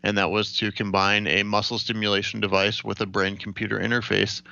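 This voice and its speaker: An American man in his late twenties giving a measured, matter-of-fact account as an interview guest. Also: lowish voice, flat delivery, vocal fry, pushed and bright, dry recording, muffled recording. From Ohio, United States. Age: late 20s. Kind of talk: recounting personal experience in an interview.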